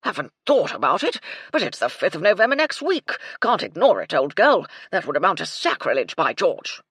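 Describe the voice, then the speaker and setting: A woman's voice: fairly low pitch, very animated, a little fast, fairly bright tone, husky, no room sound, clean studio sound, heavily acted. A woman voicing a tipsy, blustering old English army colonel with a bluff, clipped manner and old-fashioned slang, read in an audiobook. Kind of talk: audiobook character voice.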